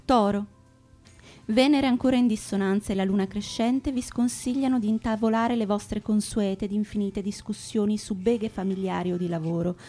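A woman's voice: medium pitch, steady tone, normal speed, dark tone, soft background music. A woman reading a scripted radio horoscope, handing out wry, bossy advice. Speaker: horoscope reader.

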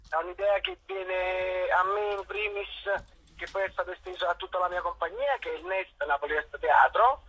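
Man on a muffled phone line, husky and high. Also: bright, forceful tone. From Naples. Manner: thoughtful, searching for words with fillers. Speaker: actor guest. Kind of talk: phone interview. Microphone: telephone.

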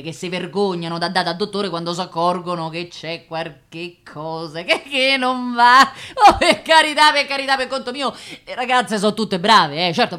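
An actress playing a gossipy, self-assured working-class fortune-teller and concierge in a radio play, with a Roman accent and a colloquial, insistent, rambling delivery. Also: female voice, mid-range voice, sharp tone, smooth, lightly acted. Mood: animated, emphatic. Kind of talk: theatrical monologue in dialect.

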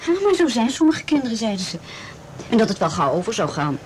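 A woman playing an anxious, guarded teenage girl who speaks haltingly in a radio play. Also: medium pitch, big pitch swings, darkish tone, a little hiss or hum, natural voice. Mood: bitter, resigned. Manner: halting, repeats words. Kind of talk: Dutch radio play acting.

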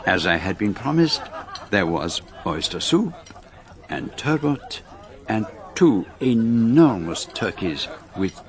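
An actor playing a fastidious gentleman detective with a Belgian French accent, narrating his story formally in a radio drama. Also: lowish voice, big pitch swings, a faint crowd, heavily acted. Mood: pleased, savouring. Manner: precise, formal, measured. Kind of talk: scripted first-person narration in radio drama.

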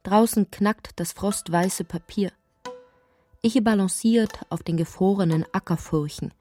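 A woman narrating a poetic, absurd literary radio drama in deliberate, measured phrases. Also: mid-range voice, mellow, faint music behind, natural voice. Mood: musing, wry.

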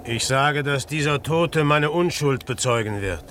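A man's voice: medium pitch, unhurried, fairly bright tone, natural voice. An actor playing an earnest, plain-spoken village man in a German radio drama. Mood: defiant. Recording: noisy background ambience.

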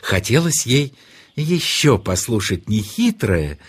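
Slow, very expressive male storyteller. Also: mid-range voice, husky. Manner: expressive, unhurried fairy-tale delivery. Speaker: narrator. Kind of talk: scripted children's storytelling. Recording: noisy track with birdsong. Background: birdsong.

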